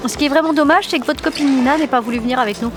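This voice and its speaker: A woman playing a feisty, blunt but loyal wife in a comic audio drama, with a brisk manner. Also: sits high, over music, natural voice.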